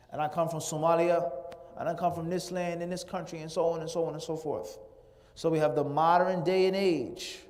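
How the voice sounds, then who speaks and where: A man's voice: high-pitched, a little mellow. Man preaching in a reverberant hall. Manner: rhetorical, piles up lists of words. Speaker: Islamic lecturer. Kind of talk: lecture-style sermon. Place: large hall of an Islamic center. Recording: long reverb from a large hall.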